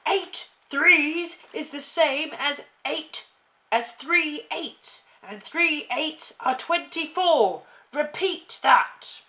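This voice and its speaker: Tyrant headmistress, furious, loud, high, slow emphatic delivery, bullying, storybook villain. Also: female voice, heavily acted, low-quality recording. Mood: furious, bellowing. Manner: bellowing, emphatic insults with drawn-out words. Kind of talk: children's storybook character reading.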